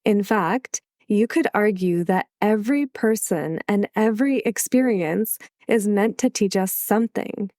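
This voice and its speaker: A woman hosting a solo podcast who is a little sick, reading her script in a calm, measured way. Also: mid-range voice, no room sound, clean studio sound. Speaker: podcast host.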